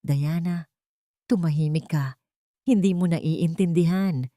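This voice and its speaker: A woman's voice: on the low side, takes it slowly, mellow, no room sound, clean studio sound. A woman voicing a menacing, scheming husband in a taunting, dramatic manner for an audio story. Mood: angry. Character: menacing, sneering man, treacherous scheming husband.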